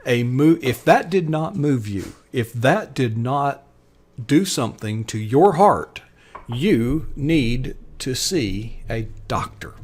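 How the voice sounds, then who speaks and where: A male host with a mid-range voice, introducing a guest enthusiastically on a live online show. He pauses often and restarts with fillers. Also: mid-range voice, animated, a little mellow, breathy edge.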